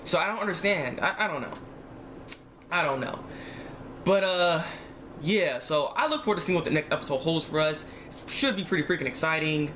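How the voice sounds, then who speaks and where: A man giving a casual, rambling review of an animated series episode in slangy, exasperated speech with emphatic repetition. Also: fairly high pitch, a little fast, a little hiss or hum. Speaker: online reviewer.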